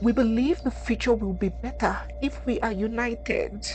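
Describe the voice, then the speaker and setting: A woman's voice: fairly high pitch, moderate pace, a little mellow, faint music behind, pitched above the performer's own voice, close to an everyday voice. A woman voicing a brave young lover in a folktale, earnest and pleading.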